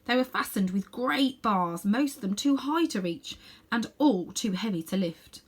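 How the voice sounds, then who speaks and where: Female storybook reader, mid-pitched, animated intonation, expressive children's narration. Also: measured pace, slightly rough.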